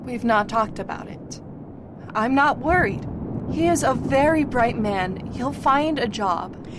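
Young woman in love, radio play , female actor: defensive, plain-spoken, raised pitch, noticeable background rumble. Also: lively intonation, takes it slowly. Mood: firm. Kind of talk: amateur radio play acting. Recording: clear rumbling background noise.

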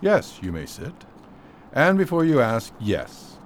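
An actor playing a shrewd, skeptical London solicitor in a radio drama, speaking in a measured, clipped way. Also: male voice, mid-range voice, sing-song, a little hiss or hum. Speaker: lawyer.

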